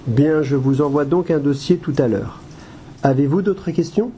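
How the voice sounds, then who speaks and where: A man playing a patient, formal and polite association adviser with a soft, slightly husky voice in an amateur audio drama. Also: on the high side, normal speed, slight background noise, close to an everyday voice. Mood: helpful, polite. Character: courteous, patient male guide-dog association adviser.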